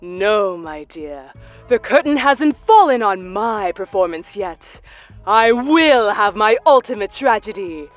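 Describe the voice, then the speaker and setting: A woman's voice: mid-range voice, lively intonation, slow, muffled recording, faint music behind. A woman voicing a haughty, grandiose sorceress villainess in a fan cartoon, stagey and disdainful. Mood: menacing.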